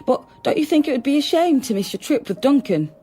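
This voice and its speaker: A woman's voice: high-pitched, expressive, brisk, warm tone. An actress in a naturalistic radio drama playing a watchful undercover policewoman posing as a nanny, tentative and guarded. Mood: coaxing.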